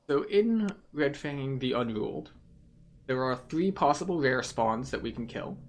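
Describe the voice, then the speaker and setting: A man's voice: medium pitch, animated, a little slow. A man giving explanatory, methodical commentary as he guides listeners through a video game playthrough.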